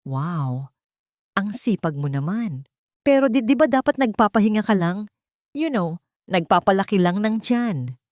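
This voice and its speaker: A woman's voice: medium pitch, lively intonation, unhurried, pauses often, a little mellow, no room sound, clean studio sound, voice pushed up, natural voice. A woman voicing a fake-sweet, spiteful mistress in an audio love drama. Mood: mock-sweet.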